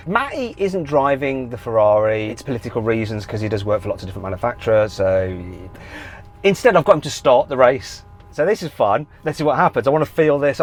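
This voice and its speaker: A man with a Midlands accent presenting a car show, talking in an excitable, animated way and laughing in disbelief. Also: medium pitch. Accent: English Midlands. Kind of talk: excitable on-camera commentary.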